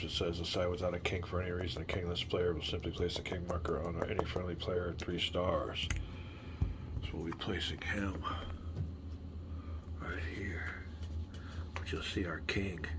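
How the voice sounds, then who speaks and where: Deep gravelly man, chatty board gamer. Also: noisy background. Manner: rambling, chatty, mutters rules half under his breath. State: a little hoarse. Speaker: board game video host. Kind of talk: casual solo board game playthrough. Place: home room with game tables.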